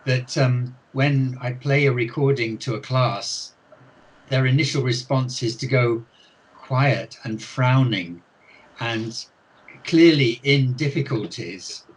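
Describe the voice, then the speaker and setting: A man's voice: medium pitch, takes it slowly, husky, low-quality recording. An older man, an author being interviewed, talking thoughtfully with many restarts and hesitations. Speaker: author and ELT expert guest. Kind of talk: unscripted interview talk.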